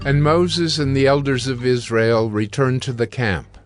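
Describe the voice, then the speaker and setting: A man with a middling pitch reads scripture as narrator. His delivery is measured and solemn, with music clearly behind him. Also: steady tone. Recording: music bed under the voice.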